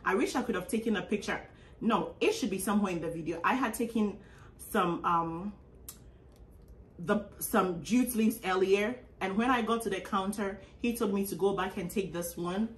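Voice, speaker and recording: A woman's voice: mid-range voice, moderate pace. Young woman, Ghanaian accent, chatty, lots of ums, echoey room, a bit far from the mic. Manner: chatty, animated, many um fillers. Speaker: vlogger. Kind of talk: casual grocery haul chat. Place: small indoor room at a table.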